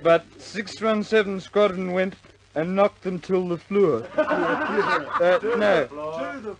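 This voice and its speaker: A man's voice: high-pitched, takes it slowly, gravelly, exaggerated voice. An actor playing a drunk, boisterous RAF airman, rambling and stammering in 1950s war film style. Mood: proud, tipsy. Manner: rambling, stammering, repeating himself. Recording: noisy crowded room, old film soundtrack.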